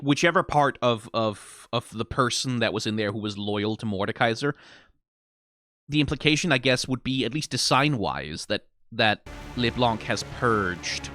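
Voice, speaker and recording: A man's voice: fairly low pitch, pushed and bright. A man giving casual, off-the-cuff commentary as a streamer, rambling as he thinks aloud and often checking himself with a tag question.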